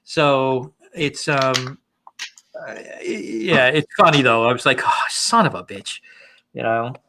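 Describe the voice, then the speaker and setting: A man's voice: mid-range voice, animated, slow, sharp tone. A man in his late fifties chatting casually, hesitant and reflective, with many fillers. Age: 50s. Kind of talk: casual chat.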